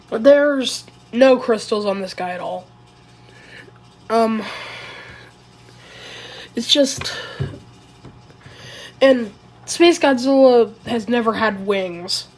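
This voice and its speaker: Sick, raspy woman, slow and rambling. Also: a little high, rough recording. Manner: rambling, hesitant, self-correcting. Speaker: video creator. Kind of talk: casual commentary video.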